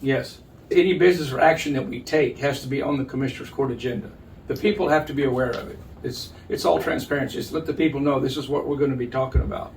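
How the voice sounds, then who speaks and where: An older man, an official being interviewed, explaining things in a measured, halting, plain-spoken way. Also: mid-range voice. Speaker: county judge, interview guest. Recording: distant mic, noticeable background noise.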